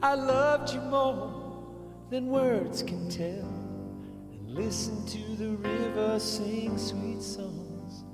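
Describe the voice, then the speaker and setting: Man singing real slow in church, high voice, long echo, loud music under him. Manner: drawn-out sung phrases. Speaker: church singer. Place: church hall. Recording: echoey hall with loud instrumental backing.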